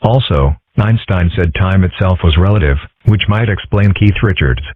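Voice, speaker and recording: A philosophical young cartoon fox boy, acted by a man, dry and deadpan. The voice is low and rough-edged, the phrasing measured and wordy. It sounds like a phone-quality comic dub recording. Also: no room sound. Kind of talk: amateur comic dub character acting. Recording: muffled, tinny phone-like audio.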